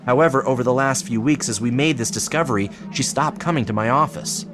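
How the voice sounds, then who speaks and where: An actor playing an earnest, persuasive psychiatrist in an audio drama, explaining in a measured, solemn way. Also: male voice, medium pitch, close to an everyday voice. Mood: urgent, pleading. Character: earnest, persuasive male psychiatrist pressing his case. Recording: clearly noisy background ambience.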